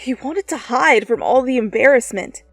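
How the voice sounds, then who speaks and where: A woman's voice: sits high, normal speed, no room sound. A woman reading fan fiction aloud as a dramatic second-person story narration. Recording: close, dry, music behind.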